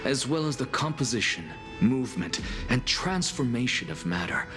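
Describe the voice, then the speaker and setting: A man's voice: mid-range voice, very animated, moderate pace, close to an everyday voice. A man voicing a proud, sardonic scholar and defiant alchemist in a video game, with a dry, theatrical, biting delivery. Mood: grave, reflective. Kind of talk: dramatic video game voice acting. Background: music.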